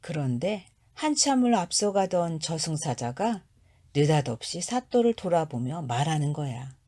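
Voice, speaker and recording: A woman telling a traditional folktale to children in a gentle, chatty tone. Her voice sits a little low for a woman, and she narrates as if speaking straight to the listener. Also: big pitch swings, unhurried. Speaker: storyteller.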